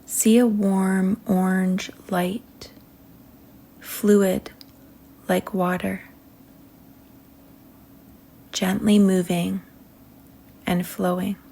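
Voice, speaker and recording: A woman leading a guided meditation in a soothing manner, speaking in short phrases with long pauses that trail softly toward a whisper. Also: mid-range voice, little pitch movement, vocal fry.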